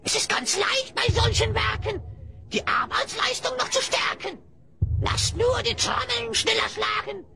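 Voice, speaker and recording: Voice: very high-pitched, expressive, measured pace, vocal fry, pushed and bright, soft background music, exaggerated voice. An actor voicing a sly, cackling hyena spy who speaks in theatrical rhymes in a fantasy radio play. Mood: cunning, flattering. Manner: theatrical rhyming speech with cackles.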